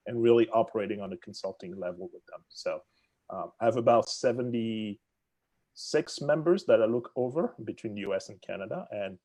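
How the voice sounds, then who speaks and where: A man from the Congo whose first language is French, speaking with his accent as an interview guest, telling his story in an animated, self-deprecating way. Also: medium pitch, rough recording. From Democratic Republic of the Congo. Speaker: guest, real estate executive.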